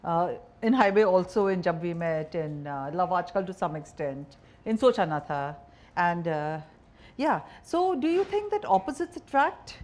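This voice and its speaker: Indian woman interviewer with lively intonation. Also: on the low side, moderate pace. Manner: asks layered questions, rephrasing as she goes. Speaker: journalist interviewer. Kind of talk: conversational interviewing.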